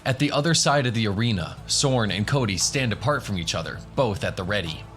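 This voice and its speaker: A man's voice: fairly low pitch, lively intonation, fairly quick, fairly bright tone. A man giving scripted narration for a fantasy audio drama. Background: music.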